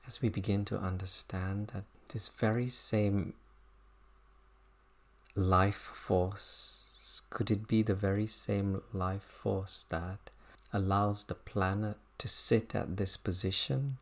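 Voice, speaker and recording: Meditation guide, low pitch, very slow delivery, contemplative, leaving space between short phrases. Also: fairly even intonation. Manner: unhurried, contemplative, halting phrases. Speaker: meditation teacher. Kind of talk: guided body scan meditation.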